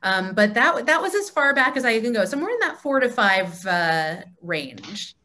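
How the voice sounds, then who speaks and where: A woman's voice: a little high, very animated, moderate pace, pushed and bright. Female discussion host, conversational, on a video call. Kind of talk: casual group conversation.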